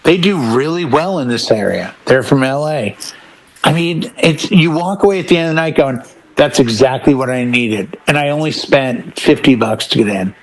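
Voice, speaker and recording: A man's voice: medium pitch, lively intonation, moderate pace, rough recording. A man talking enthusiastically and emphatically in a casual panel chat as one of the show's panelists. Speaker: panelist on a DJ talk show.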